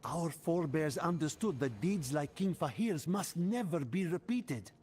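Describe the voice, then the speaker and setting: A man's voice: fairly high pitch, expressive, a little slow, darkish tone, slight background noise. A man voicing an irritable scholarly relic expert in a video game, curt, formal and grimly cautionary. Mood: grave warning.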